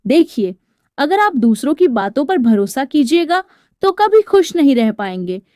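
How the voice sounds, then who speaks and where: Woman playing a brazen high-voiced housemaid wife. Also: sing-song, measured pace, darkish tone, dry recording, clean studio sound. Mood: scornful. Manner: retorting, dismissive. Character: brazen, evasive housemaid wife, defensive and dismissive. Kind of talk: dramatised Hindi moral storytelling.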